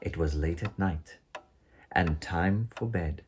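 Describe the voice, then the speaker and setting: A woman reading a rhyming children's storybook aloud as narrator, theatrical and deliberate. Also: a bass voice, darkish tone, a little hiss or hum.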